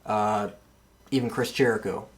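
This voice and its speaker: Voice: medium pitch, fairly even intonation, normal speed. A podcast host chatting casually about wrestling.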